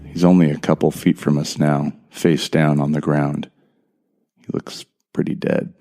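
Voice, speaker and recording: A man's voice: rumbling low, little pitch movement, measured pace, mellow. An actor playing a tense, bitter man who narrates his horror ordeal in a brooding, profane first person. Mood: grim, wary. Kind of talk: scripted audio drama narration. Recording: music behind the voice.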